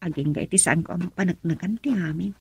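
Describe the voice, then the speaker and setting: A woman acting a concerned, talkative friend in a radio drama, husky and breathy, with an Ilocano Filipino accent. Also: fairly low pitch, fast talker, lo-fi sound, full character voice.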